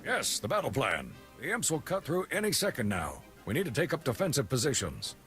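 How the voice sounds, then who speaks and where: An actor playing a gung-ho military commander with a rousing, martial delivery in a sci-fi video game. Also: male voice, mid-range voice, wildly swooping pitch, brisk. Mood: rallying. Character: gung-ho male military commander rallying his troops. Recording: music behind the voice.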